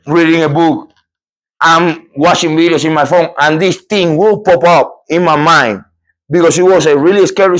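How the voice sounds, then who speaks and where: A middle-aged man from Cuba, hosting a podcast and telling a true story in an animated, emphatic way with many repeats and restarts. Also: fairly high pitch, rough recording. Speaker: podcast host. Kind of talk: storytelling a true scary encounter.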